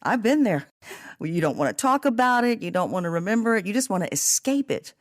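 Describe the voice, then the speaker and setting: A woman hosting a podcast, discussing a book in a reflective, expressive and emphatic way. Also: medium pitch, a little fast, dry recording, studio quality. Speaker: podcast host.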